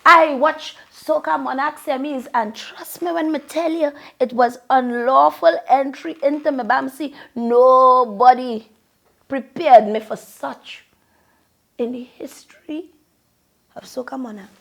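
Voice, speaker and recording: A woman's voice: high voice, steady tone, unhurried, gravelly, fairly bright tone. A woman from Trinidad with a Trinidadian accent, ranting bluntly and profanely to camera in Creole-flavored casual talk. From Trinidad and Tobago. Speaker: vlogger and longtime event host.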